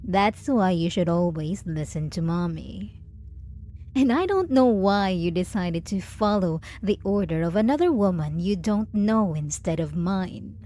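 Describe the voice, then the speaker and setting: Woman performing as possessive dominant female lover, ASMR roleplay, unhurried, lilting intonation, teasing, condescending, doting. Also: on the low side, lively intonation, warm tone. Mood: teasing, controlled. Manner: slow, teasing, condescending pet-name cooing with deliberate pauses. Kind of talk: intimate ASMR audio roleplay.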